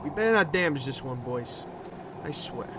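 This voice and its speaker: A man's voice: a little high, lively intonation, moderate pace, background noise, close to an everyday voice. A man playing a worried, bossy gang henchman in a fan audio drama.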